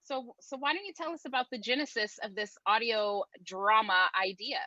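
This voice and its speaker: A woman's voice: fairly high pitch, normal speed, bright, forceful tone, no room sound. A woman hosting a conversational panel, introducing guests and asking questions, on a slightly dull lo-fi recording. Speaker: show host.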